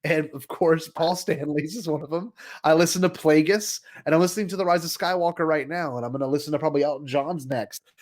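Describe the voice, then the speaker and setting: A man with a fairly high voice co-hosts a casual podcast. He talks in an animated, enthusiastic way with a joking streak. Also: fast talker. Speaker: podcast co-host.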